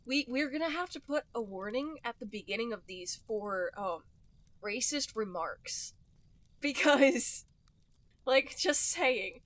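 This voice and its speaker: A woman's voice: fairly high pitch, animated, slow, a bit bright, no echo. A woman co-hosting a podcast in casual chat, giggly and given to hedging what she says. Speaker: podcast co-host.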